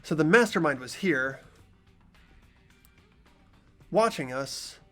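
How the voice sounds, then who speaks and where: A man plays an earnest teenage protagonist from a video game. His voice is fairly high and very animated, full of anxious questioning, with faint music behind. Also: moderate pace, lightly acted. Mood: puzzled. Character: earnest, anxious teenage boy narrating a mystery. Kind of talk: amateur voice acting of a video game.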